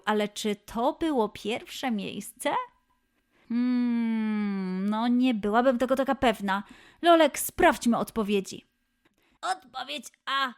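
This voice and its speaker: High-voiced woman hosting a children's quiz. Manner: playful, encouraging, exclamatory. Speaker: children's quiz show host. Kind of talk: lively scripted quiz presenting for children.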